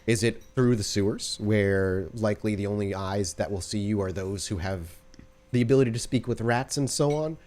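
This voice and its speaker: A man's voice: on the low side, normal speed, a little mellow. A man narrating a tabletop roleplaying game as storyteller, conversationally setting scenes and posing choices to the players.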